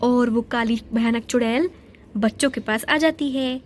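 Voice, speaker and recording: A woman narrating a children's doll story in a plain, steady storytelling manner. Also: on the high side, a little slow, a little hiss or hum.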